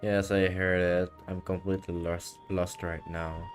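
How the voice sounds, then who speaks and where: A woman's voice: extremely low pitch, flat delivery, normal speed. A woman giving casual live commentary as a game streamer. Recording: game music behind the voice.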